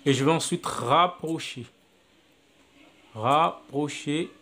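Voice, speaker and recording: A man's voice: fairly high pitch, slow, sharp tone. A man teaching video editing on a phone app, talking step by step and repeating his actions aloud as he works. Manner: step-by-step, repetitive, thinking aloud. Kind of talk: screen-recorded app tutorial.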